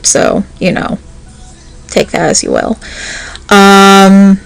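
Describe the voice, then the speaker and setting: A woman's voice: medium pitch, very animated, takes it slowly, gravelly, smooth, soft background music. A woman voice actor and channel host chatting casually and nervously, rambling with many fillers before her audio roleplay.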